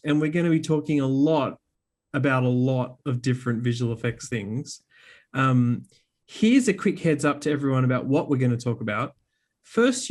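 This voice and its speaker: A man co-hosting a podcast in an upbeat, informal, chatty way. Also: medium pitch. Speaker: podcast host. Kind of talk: casual podcast chat.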